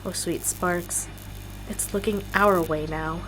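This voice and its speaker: A woman's voice: lowish voice, fairly even intonation, takes it slowly, very noisy. A woman playing a wary, sceptical young female musician in an audio drama, with a dry, cautious manner. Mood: alarmed, dry.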